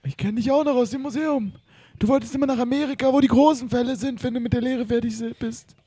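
Man playing a very high, husky English butler. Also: steady tone, fast, dark tone, dry recording. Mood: earnest. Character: eager, rambling English butler of an art collector. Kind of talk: improvised comic audio drama.

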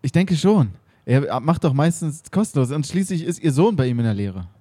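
A man's voice: on the high side, animated, normal speed, warm tone, breathy edge, dry recording. A man narrates and plays parts in an improvised audio drama. His voice sits in a middle range and sounds close and dry. He keeps a playful, giggly tone. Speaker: narrator and actor.

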